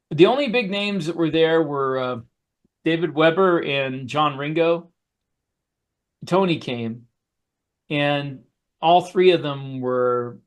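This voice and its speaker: A man with a somewhat high-pitched voice chats casually as a podcast co-host. His delivery is halting, as he often pauses to search for the next words. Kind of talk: casual conversational recollection.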